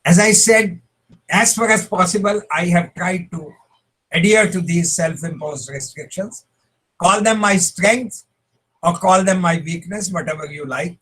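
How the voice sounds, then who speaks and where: A man with an Indian English accent speaks in a fairly high register, measured and modest as he talks about translating. He often restarts a word before going on. The sound is a video call. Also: a bit bright, breathy edge, poor audio. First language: Punjabi. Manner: measured, humble, with repeated restarts. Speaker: translator speaking at a web conference. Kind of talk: reflective conference talk.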